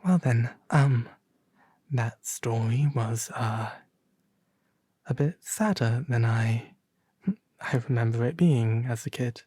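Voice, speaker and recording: A man playing a melancholy, genteel owl demon prince, reading a storybook aloud in a soft, refined, breathy ASMR voice. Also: mid-range voice, slow pace, pauses often, dry recording. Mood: wistful, halting. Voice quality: breathy, soft, often half-whispered. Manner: refined, gentle, formal diction.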